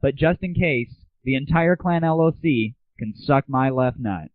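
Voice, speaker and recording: A man's voice: medium pitch, expressive, unhurried, no room sound. A man hosting a solo internet audio show in a casual monologue, crude, sarcastic and irreverent. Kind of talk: casual scripted monologue about games and the internet. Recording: muffled lo-fi 1990s internet audio, dry.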